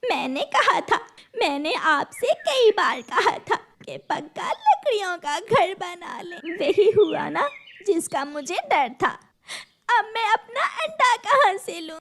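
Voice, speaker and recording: Cartoon mother bird, played by a woman: very high, fretful, plaintive, reproachful. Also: big pitch swings, takes it slowly, a bit bright, full character voice. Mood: tearful, reproachful. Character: anxious, scolding mother sparrow grieving her lost egg. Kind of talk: Urdu cartoon voice acting.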